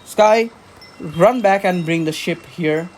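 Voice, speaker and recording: A man's voice: high-pitched, takes it slowly, slight background noise. A man playing a vain, cocky spaceship captain in a sci-fi comedy audio drama, smug and boastful. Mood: commanding.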